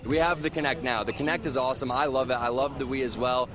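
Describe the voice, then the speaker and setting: A man's voice: medium pitch, steady tone, quick pace, music in the background. A man, a pro gamer, talking candidly and enthusiastically in a casual interview. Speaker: professional gamer. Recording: crowd noise around.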